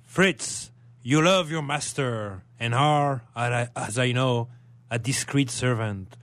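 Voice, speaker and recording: A man playing an earnest, concerned gentleman in a radio drama, with formal, measured theatrical delivery. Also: mid-range voice, no echo. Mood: earnest, worried.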